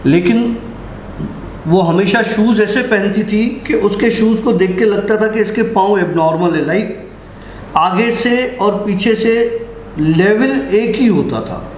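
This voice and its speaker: A man telling a horror story in a casual, unhurried, conversational way, with dramatic pauses. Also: sits high. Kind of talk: casual first-person ghost storytelling. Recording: roomy echo, faint background noise.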